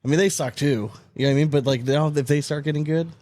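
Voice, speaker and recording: A man's voice: fairly high pitch, steady tone, fast, darkish tone, no echo. A man in his thirties hosting a podcast in an informal, slangy, chatty way. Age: 30s. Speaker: podcast host. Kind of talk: casual podcast chat.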